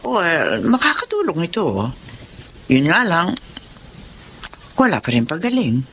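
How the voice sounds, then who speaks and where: An actress playing a frank, concerned woman doctor in a Filipino radio drama, expressive and gently probing. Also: lowish voice, measured pace, exaggerated voice. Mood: grave. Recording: faint ambient noise behind.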